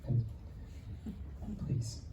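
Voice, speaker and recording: A man interviewing a guest, asking questions in measured, searching phrases. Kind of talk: conversational interview. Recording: roomy distant mic with faint noise.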